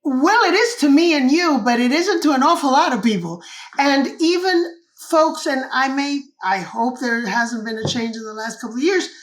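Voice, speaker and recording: A woman's voice: high-pitched, lively intonation, normal speed, rough recording. An older woman from Cuba, a guest author explaining ideas in an interview and often checking in with "correct?".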